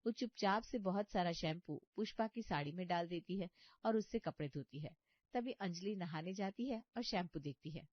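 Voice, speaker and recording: A woman's voice: fairly low pitch, moderate pace, dry recording, lo-fi sound. A woman reading a scripted story as its narrator.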